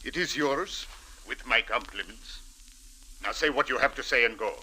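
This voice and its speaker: An actor playing a haughty, sinister crime boss in an old-time radio drama, speaking formally and without contractions. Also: male voice, medium pitch, normal speed, pushed and bright, breathy edge, poor audio. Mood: haughty, dismissive. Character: sinister, haughty male Egyptian crime boss, coolly evasive. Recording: vintage radio drama recording.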